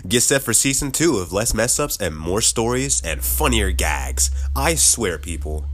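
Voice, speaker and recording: Chatty laughing podcast host, lowish voice. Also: male voice, a bit bright. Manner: upbeat, chatty, laughs often. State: slightly sick, voice a bit lower. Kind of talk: casual podcast outro.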